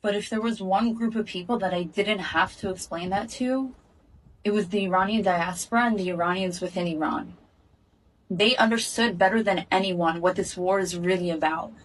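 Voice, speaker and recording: A woman giving an earnest, measured direct-to-camera statement, recorded in Israel. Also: mid-range voice, distant mic, rough recording. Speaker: activist speaking in a video clip.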